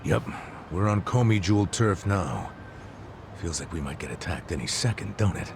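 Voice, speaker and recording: Gravelly, low-voiced, wry game sidekick. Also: male voice, lively intonation, normal speed, noisy background. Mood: wary. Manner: wry, blunt, casual. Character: gruff middle-aged male sidekick, smitten by beauty. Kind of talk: English video game dub acting. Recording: loud music behind dialogue.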